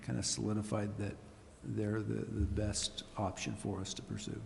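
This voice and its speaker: A man on city staff answering questions at a council meeting, halting and full of fillers. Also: on the low side, little pitch movement, vocal fry. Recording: reverberant hall, faint noise.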